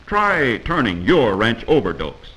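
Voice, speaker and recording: American guy doing 1940s training film narration, bright forceful voice, lively pitch, teachy and scolding, old film sound. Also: unhurried, poor audio. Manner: didactic, admonishing.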